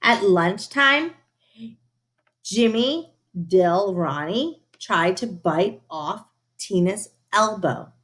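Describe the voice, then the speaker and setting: A woman reading a children's story aloud in an expressive, emphatic, teacherly way, with asides to her listeners. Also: mid-range voice, very slow. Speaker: storybook reader.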